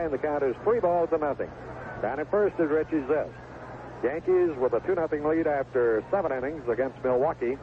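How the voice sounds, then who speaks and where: A man calls a baseball game live from the ballpark on an old AM radio broadcast. The sound is thin and narrow, with heavy noise behind him. His voice sits a little high, and his phrasing is folksy and colorful. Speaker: baseball radio play-by-play announcer. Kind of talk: live play-by-play sports commentary. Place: outdoor ballpark broadcast booth. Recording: tinny, noisy 1970s AM radio broadcast.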